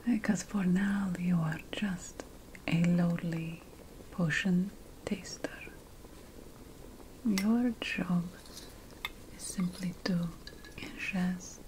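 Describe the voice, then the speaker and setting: A woman playing an ancient witch priestess, a patient and lofty potion scholar, in a hushed, deliberate ASMR fantasy roleplay. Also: fairly low pitch, steady tone, slight background noise, low-quality recording. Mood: hushed, condescending. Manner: hushed, deliberate, lingering over lists.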